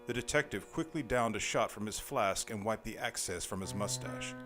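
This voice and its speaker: A man's voice: on the low side, normal speed, over music. A man giving scripted, dramatic narration for a noir horror audio drama.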